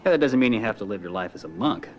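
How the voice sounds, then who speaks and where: A man acting a fast-talking, breezy gym buddy. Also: fairly low pitch, animated, darkish tone, lo-fi sound, a little hiss or hum, lightly acted. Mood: friendly. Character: friendly, brisk gym buddy recommending a doctor. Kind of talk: scripted casual dialogue.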